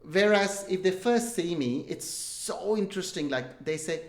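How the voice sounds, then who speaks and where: A man's voice: sits high, lively intonation, a little slow. A middle-aged man from India with an Indian English accent touched by Australian, telling jokey, rambling stories as an interview guest. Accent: Indian English with Australian influence. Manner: rambling, self-deprecating, jokey storytelling. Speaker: guest entrepreneur. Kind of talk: casual interview talk. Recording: roomy echo.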